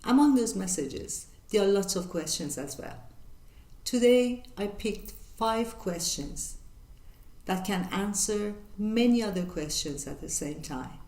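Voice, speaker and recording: An older woman from northern Iran with a Persian accent, hosting her channel and answering viewer questions in an earnest, measured way with many pauses. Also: mid-range voice, animated, warm tone, roomy sound. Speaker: channel host. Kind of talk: reflective Q&A monologue.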